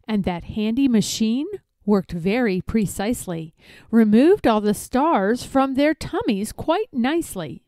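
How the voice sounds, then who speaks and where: A woman reading rhyming verse aloud for children in an expressive, measured storybook style. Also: on the high side, no echo, studio quality. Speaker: storybook reader.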